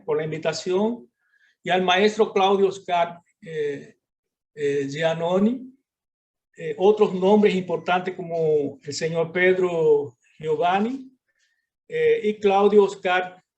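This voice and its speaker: Slow, high-voiced man with Portuguese-tinged Spanish. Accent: Portuguese-influenced Spanish. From Brazil. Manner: halting, many filler sounds. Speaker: lecturer. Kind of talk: academic lecture.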